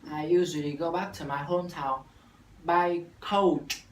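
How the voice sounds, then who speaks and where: A man's voice: a little high, fairly even intonation, a little slow. A young Vietnamese man in his late teens speaks in careful, rehearsed English with a Vietnamese accent, restarting phrases now and then. He sounds distant from the mic in an echoey room. A faint hiss sits under the voice. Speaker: university student. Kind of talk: scripted classroom dialogue practice.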